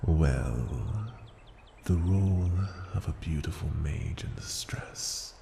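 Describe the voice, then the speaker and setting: Male actor as ancient dragon in human guise, haughty seductive taunting, slow drawling delivery, dark tone, reverberant hall with ambient noise. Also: expressive, full character voice. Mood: teasing, seductive. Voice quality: low, gravelly, breathy. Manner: condescending, taunting, drawn-out phrases dipping into breathy murmurs. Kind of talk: ASMR fantasy roleplay, slow theatrical delivery.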